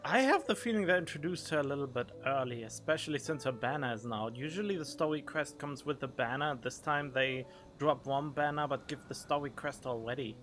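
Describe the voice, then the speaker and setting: A man gives casual let's play commentary as a game streamer. He speaks into a studio microphone. Soft game music plays faintly behind him. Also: medium pitch, brisk, few pauses. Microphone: studio condenser microphone.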